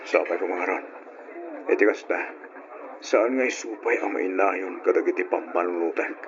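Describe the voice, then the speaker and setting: A man's voice: low-pitched, normal speed, lightly acted. A young man with an Ilocano accent playing an evasive, preoccupied boyfriend in an old radio drama. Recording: noisy, dull lo-fi radio recording.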